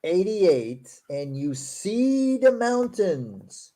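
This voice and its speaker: A man who speaks English as a second language, with Portuguese as his first, and a fairly high but mellow voice. He reads text slowly and breaks in with sarcastic asides. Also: big pitch swings. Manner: reads verses aloud slowly, then comments in a quick, sarcastic tone. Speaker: show host. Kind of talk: casual critical discussion.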